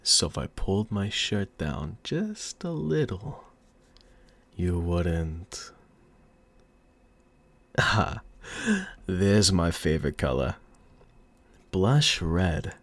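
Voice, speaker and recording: Casting: a man acting a cocky male mob boss for audio roleplay; deep voice, very lively intonation, put-on mobster accent, flirtatious menace, teasing drawl. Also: drawn-out words, pauses often, exaggerated voice. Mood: cocky flirting. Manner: smug, teasing, theatrical drawl.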